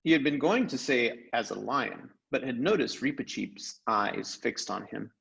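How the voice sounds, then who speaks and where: A man speaks as a teacher reading aloud to a class. His voice is mid-pitched with very lively intonation, on a slightly muffled recording. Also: moderate pace, gravelly. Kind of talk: storybook read-aloud and class announcements.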